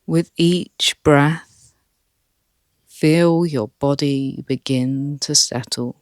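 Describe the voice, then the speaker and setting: A meditation guide speaking calmly and softly, leading a relaxation session with long pauses. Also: medium pitch, no room sound, studio quality. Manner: calm, soft, with long pauses.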